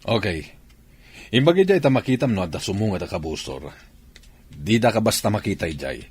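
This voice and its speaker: A man acting as a stern soldier in an Ilocano radio drama, speaking with an Ilocano accent. His fairly low voice rises and falls dramatically as he broods and gives orders. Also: sing-song, fairly quick, darkish tone, husky. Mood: stern, commanding.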